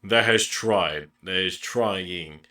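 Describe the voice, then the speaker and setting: A man co-hosting a reaction video, chatting casually, animated and laughing often as he explains singing technique. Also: deep voice, slow pace, far from the mic. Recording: roomy sound, away from the mic.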